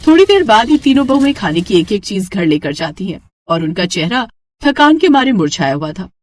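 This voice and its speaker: A woman's voice: mid-range voice, sing-song, brisk, darkish tone, no room sound. A woman narrating a scripted moral tale as a storyteller.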